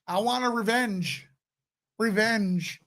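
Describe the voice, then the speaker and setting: A man's voice: high-pitched, slow, a bit bright. A man with a nasal voice hosting a casual livestream, answering viewer questions in a laid-back way. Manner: laid-back, laughs often, mock radio-announcer bits. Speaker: show host.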